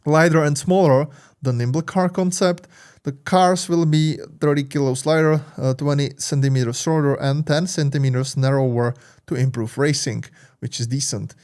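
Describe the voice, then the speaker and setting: A man with a Czech accent, not a native English speaker, hosting a tech tutorial in a halting way, full of fillers and restarts, and upbeat about what he shows. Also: fairly high pitch, fairly even intonation, darkish tone. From Czech Republic. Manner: enthusiastic. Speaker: tech channel host. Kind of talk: tutorial walkthrough, casual.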